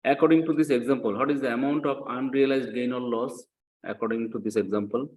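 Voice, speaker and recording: A man's voice: mid-range voice, steady tone, a little fast, a little mellow, low-quality recording. A man lecturing in a didactic way, repeating key points and often checking with short questions. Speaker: accounting lecturer. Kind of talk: classroom lecture, explaining worked example.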